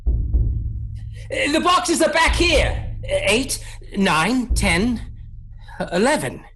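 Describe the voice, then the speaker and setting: An actress playing a grim, weary vampire hunter, halting and somber, in a horror audio drama. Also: female voice, on the high side, sing-song, a bit bright, breathy edge, faint music behind, full character voice. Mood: tense.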